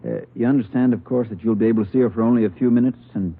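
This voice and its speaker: A man's voice: medium pitch, steady tone, rapid-fire, slightly rough. A man playing a polite, soothing hospital doctor in an old-time radio drama. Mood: courteous, cautious. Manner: hesitant, gentle, polite. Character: courteous, soothing, protective male hospital doctor. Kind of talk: 1940s-50s radio drama acting. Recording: old radio recording, narrow phone-like band.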